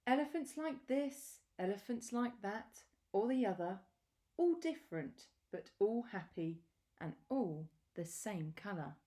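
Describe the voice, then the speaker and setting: A woman reading a children's picture book aloud in a deliberate, expressive storytelling manner with pauses. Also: mid-range voice.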